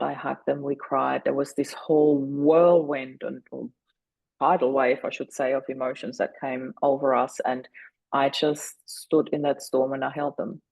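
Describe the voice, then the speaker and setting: Woman in her forties, German speaker, podcast guest; gravelly, even-toned delivery, earnest and measured; dry close-mic sound. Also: rumbling low, no echo, rough recording. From Austria. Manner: earnest and deliberate, slowing down on key moments. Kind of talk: reflective storytelling.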